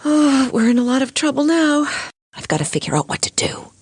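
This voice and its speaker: A woman voicing a sensible but anxious cartoon lawyer with a measured, teacherly manner. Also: high-pitched, big pitch swings, husky, no room sound, clean studio sound, heavily acted. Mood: worried. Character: sensible, anxious female lawyer mentoring a boy. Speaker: lawyer character. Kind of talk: animated courtroom cartoon voice acting.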